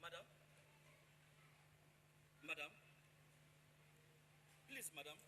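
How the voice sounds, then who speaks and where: A man's voice: a little high, expressive, drawn-out words, bright, forceful tone, full character voice. A man playing a timid, flustered house servant in a stage play, hesitant, stammering and deferential. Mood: hesitant, pleading. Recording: clearly noisy stage recording.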